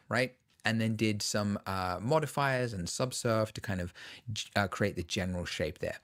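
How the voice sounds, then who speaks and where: A man talking through a 3D modeling tutorial over his screen, relaxed and full of fillers. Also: fairly low pitch. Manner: relaxed, filler-heavy explaining. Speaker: 3D software tutor. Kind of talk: screen-recorded software tutorial.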